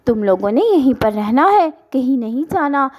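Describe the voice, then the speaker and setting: A woman voicing a caring mother bird, gently instructing, in a children's cartoon story. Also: high-pitched, sing-song, moderate pace. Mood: cautioning, caring.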